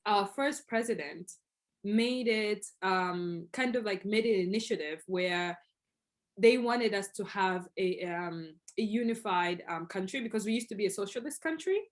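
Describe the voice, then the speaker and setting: Tanzanian woman explaining with lively energy. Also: mid-range voice, normal speed. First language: Swahili. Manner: animated, explanatory, many fillers. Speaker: guest. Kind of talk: conversational interview.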